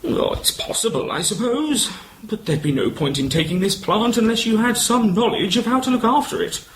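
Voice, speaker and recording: A man acts an older retired colonel for an amateur radio play. He is courtly and proud, with a high, swooping voice and old-fashioned gallantry, over a faintly noisy recording. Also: expressive, normal speed. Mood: proud, confiding.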